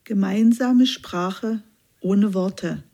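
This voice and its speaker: A woman reading a church news report in a calm, measured scripted narration. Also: mid-range voice, vocal fry. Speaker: news reader.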